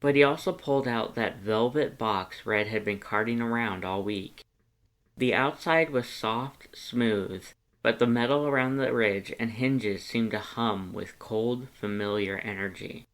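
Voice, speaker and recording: A man narrating fan fiction in an expressive audiobook style. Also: fairly low pitch, a little slow.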